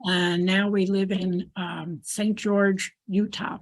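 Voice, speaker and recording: A woman's voice: medium pitch, little pitch movement, takes it slowly. A woman from New Jersey introducing herself on a video call, friendly and slightly unsure. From New Jersey, United States. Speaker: meeting participant. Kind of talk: casual self-introduction.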